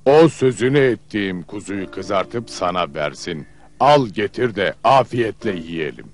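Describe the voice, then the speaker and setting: A man playing a sly man-eating giant father who feigns friendliness in an old cartoon, with theatrical, drawn-out folksy delivery. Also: lowish voice, animated. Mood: generous, coaxing. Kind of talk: old cartoon fairy-tale dubbing.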